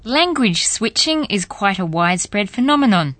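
A woman narrates a language lesson in a measured, explanatory tone. Her voice sits in a mid range and is recorded dry and close, with no room sound. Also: mid-range voice, big pitch swings, fairly bright tone, no echo. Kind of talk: scripted narration.

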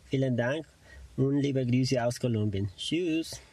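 A man's voice: medium pitch, takes it slowly, a little mellow, dry recording, soft background music. A young man from Colombia, raised in Mexico, with as his language, introducing himself as a German learner and carefully searching for words. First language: Spanish. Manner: careful, searching for words with frequent "naja" fillers. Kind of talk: self-introduction monologue.

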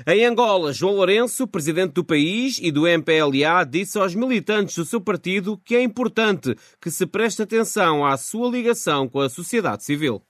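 A man's voice: high voice, expressive, moderate pace, hardly any pauses, fairly bright tone, no echo. A man presenting scripted radio news as host, speaking into a studio microphone.

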